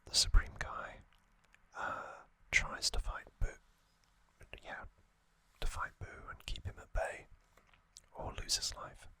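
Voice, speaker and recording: A man whispering a calm trivia recap for an ASMR video close to the microphone, with soft ums and casual asides. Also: slow, no echo. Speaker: ASMR channel host.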